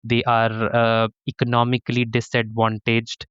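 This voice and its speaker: Earnest Pakistani-born professor, smooth voice. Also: male voice, mid-range voice, monotone, moderate pace, fairly bright tone, no echo, muffled recording. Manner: earnest, many restarts and "to be honest". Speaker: professor, interview guest. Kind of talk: conversational interview answers.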